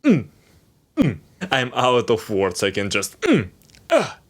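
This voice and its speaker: Russian-accented man ranting about editing software. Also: medium pitch, huge pitch swings, takes it slowly. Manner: frustrated, frequent laughs and fillers. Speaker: video editing YouTuber. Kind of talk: opinionated tech vlog rant.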